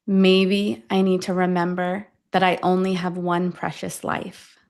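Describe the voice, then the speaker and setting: A woman leading a guided affirmation meditation, speaking deliberately in short phrases with long pauses between them. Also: lowish voice, monotone, vocal fry, a little mellow. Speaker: guide of a self-affirmation tapping session.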